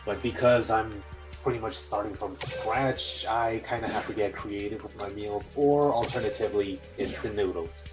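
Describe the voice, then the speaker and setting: A man's voice: fairly low pitch, moderate pace, rough recording. Casual man chatting over game music. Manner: self-deprecating. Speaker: guest on a game stream. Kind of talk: casual chat. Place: voice chat, game music behind.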